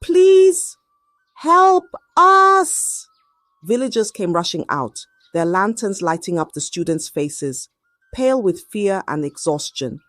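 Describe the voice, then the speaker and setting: A woman telling a dramatic scripted story in an expressive, measured and suspenseful way. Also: fairly low pitch, no echo, studio quality, soft background music.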